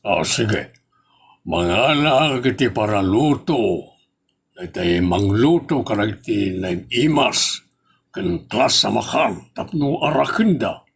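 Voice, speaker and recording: A man with an Ilocano accent playing a wily, money-minded older eatery owner in a comedy drama, measured and crafty. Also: on the low side, expressive, vocal fry, breathy edge, heavily acted.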